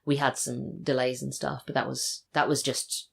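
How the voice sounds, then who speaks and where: A woman's voice: a bass voice, flat delivery, moderate pace, gravelly, a little hiss or hum. An English woman chatting casually as a podcast guest. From England. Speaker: podcast guest, audio drama writer and voice actor. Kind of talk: casual chat.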